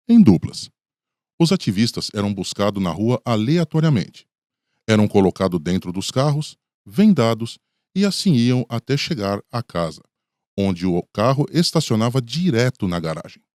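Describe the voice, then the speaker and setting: A man's voice: mid-range voice, a little mellow, no room sound, studio quality. A man with a Brazilian accent reading scripted dramatic narration for a radio documentary.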